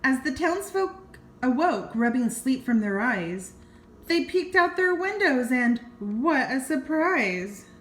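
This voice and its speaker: Older female storyteller, grandmotherly read-aloud, unhurried and exclaiming, with an echoey room sound. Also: high voice. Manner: expressive, exclaiming, gasping. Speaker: grandmother reading a picture book to children. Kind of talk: animated read-aloud storytelling with chatty asides. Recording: roomy, echoing home recording.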